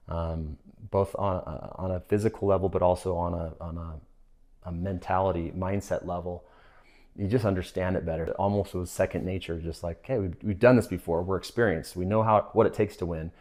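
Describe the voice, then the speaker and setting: A man's voice: deep voice, steady tone, moderate pace, a little mellow. A middle-aged man with an American accent, reflecting in a casual interview as a sports coach. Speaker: assistant soccer coach.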